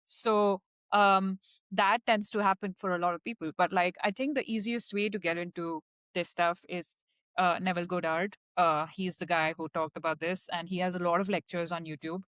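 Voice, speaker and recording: A woman's voice: on the low side, steady tone, moderate pace, a bit bright, no echo. Chatty woman guest, native Hindi speaker. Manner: chatty, explanatory, many "like" fillers. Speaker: podcast guest, data scientist. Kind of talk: casual interview chat.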